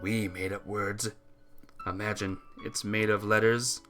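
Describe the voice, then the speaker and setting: A man's voice: lowish voice, flat delivery, unhurried, a bit bright, soft background music. A man casually chatting and reading while playing a visual novel, joking and rambling in a self-mocking way. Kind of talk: casual let's-play chat and reading aloud.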